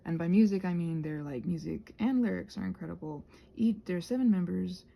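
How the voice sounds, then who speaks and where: A woman with a very dark, mellow voice and a slightly husky edge chats casually as she crafts. She wanders from thought to thought, often cutting herself off and slipping into soft murmurs. There is little creak in her relaxed delivery. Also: fairly low pitch, a little fast. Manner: meandering, digressive, self-interrupting. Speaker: craft vlogger and audio creator. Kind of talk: casual rambling chat while crafting.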